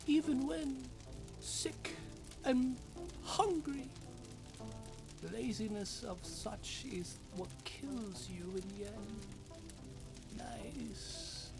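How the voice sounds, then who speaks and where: A woman's voice: fairly high pitch, sing-song, very slow, long pauses, over music, heavily acted. A woman voicing an imperious, mocking, volatile female dragon in a fantasy audio drama, theatrical and scornful. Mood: menacing, condescending. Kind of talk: fantasy audio roleplay acting.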